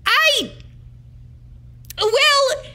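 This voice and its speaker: Woman putting on a high-pitched officious woman clerk. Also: animated, extremely slow, heavy background noise, exaggerated voice. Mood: flustered. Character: officious female city hall clerk, brisk and dismissive.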